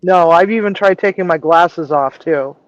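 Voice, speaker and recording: Brief: woman, low voice, candid guest chef, casual livestream chat, lo-fi remote audio. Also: normal speed. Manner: frank, candid storytelling.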